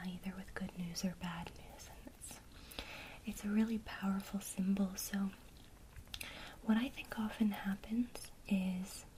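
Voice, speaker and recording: Slow, whispering ASMR woman. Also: mid-range voice, slight background noise, rough recording. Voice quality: soft, breathy whisper. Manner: gentle, encouraging. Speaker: witchcraft teacher in an ASMR lesson roleplay. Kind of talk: whispered ASMR, guided one-to-one lesson.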